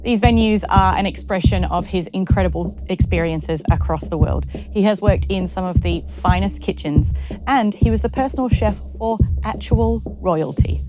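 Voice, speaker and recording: A woman's voice: mid-range voice, normal speed. Woman hosting a podcast over music. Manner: friendly, laughs along with guest. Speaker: podcast host. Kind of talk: casual interview chat. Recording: music playing behind.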